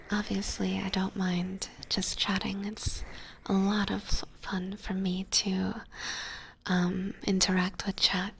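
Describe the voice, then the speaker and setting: Young woman whispering super slow and breathy into a close asmr mic, chatting with her stream. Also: medium pitch. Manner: soft whisper, reading and answering chat. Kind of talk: whispered ASMR livestream chat.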